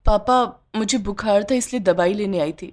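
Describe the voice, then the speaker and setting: A woman's voice: medium pitch, normal speed, darkish tone, lightly acted. A woman voicing a poor, meek young daughter-in-law in a cartoon story, pleading and sorrowful.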